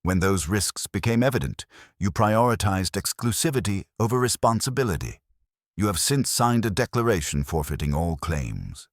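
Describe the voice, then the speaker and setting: A man voicing an imperious, unhurried detective who never leaves his chair, speaking in a cold, precise, commanding way. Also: low-pitched, very animated, dry recording, clean studio sound, pitched above the performer's own voice, heavily acted. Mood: stern.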